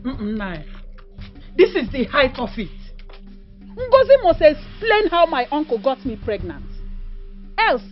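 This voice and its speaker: A woman's voice: sits high, big pitch swings, a little slow, pauses often. An actress playing an indignant wife and mother who angrily demands answers from a rival in the household. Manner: shouting, repeating a name insistently. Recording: dull lo-fi recording with faint music.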